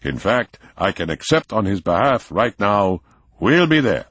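Man voicing eager young student, tipsy, raised lively voice, dry husky tone. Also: lowish voice, moderate pace, dry recording, pitched above the performer's own voice, heavily acted. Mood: enthusiastic. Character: excitable, tipsy young German student, expansive.

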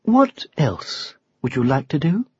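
A man voicing a sly, practical woman witch who speaks in a calm, coaxing way, in a storybook. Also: medium pitch, slow, husky, no echo, muffled recording, exaggerated voice. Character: sly, practical female witch, calmly encouraging.

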